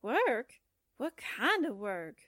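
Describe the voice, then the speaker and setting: A woman's voice: a little high, big pitch swings, takes it slowly, fairly bright tone, no room sound, clean studio sound, heavily acted. An actress playing a brash, cheeky, scatterbrained newspaperwoman with perky delivery in a 1940s-style radio drama. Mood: wisecracking. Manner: perky, wisecracking.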